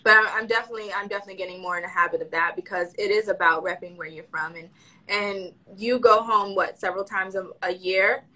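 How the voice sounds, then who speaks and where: A woman's voice: medium pitch, fairly even intonation, sharp tone, poor audio. A woman interviewer, first-generation American of Haitian parents, chatting and affirming her guest in a casual conversation. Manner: chatty, affirming, quick to agree.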